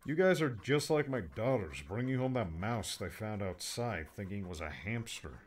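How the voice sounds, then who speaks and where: A man's voice: fairly low pitch, animated, moderate pace. A man voicing a weary, wry middle-aged father in an audiobook, grumbling dryly. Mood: skeptical.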